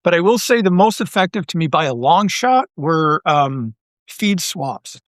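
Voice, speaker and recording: A middle-aged man talking casually as an interview guest, thinking aloud with halting, repeated phrases. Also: a little high, sing-song, fairly bright tone, no room sound, studio quality. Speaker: guest, audio drama writer and creator.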